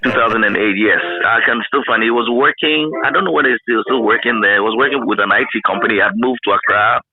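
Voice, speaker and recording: A man's voice: mid-range voice, fast talker, over music. A man with a Ghanaian accent, middle-aged, reminiscing in a casual interview and often repeating words for emphasis. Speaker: interviewee, former radio broadcaster. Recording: narrow phone-like line.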